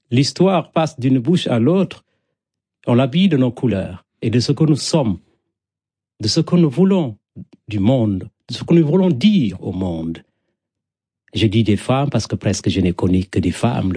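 A man's voice: mid-range voice, lively intonation, measured pace, warm tone, breathy edge, dry recording, clean studio sound. A middle-aged man from Senegal with a Senegalese accent, a native Wolof speaker, talking reflectively as a storyteller being interviewed, in poetic, repetitive phrases.

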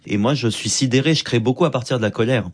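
A male stand-up comedian chatting in a radio studio. He talks quickly and with energy, mixing in Arabic words and playful asides. His voice sits at an average pitch for a man. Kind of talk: radio interview.

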